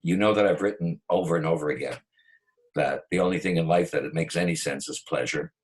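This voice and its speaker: Deep-voiced guy hosting a talk show, gushing intro, kinda rambly. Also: a little fast. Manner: expansive, flattering, repeats himself. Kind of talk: casual, effusive interview introduction.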